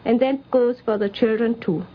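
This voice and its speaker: High-voiced middle-aged woman, old radio recording. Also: normal speed. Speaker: interviewee, daughter of the programme's subject. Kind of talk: prepared personal account for radio. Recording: dull 1950s radio recording.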